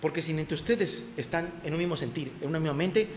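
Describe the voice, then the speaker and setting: A man's voice: fairly high pitch, fairly quick, slight background noise. A man preaching a sermon to his congregation, asking them questions and raising his voice for emphasis. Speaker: pastor. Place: church hall. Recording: echoey church hall, faint ambience.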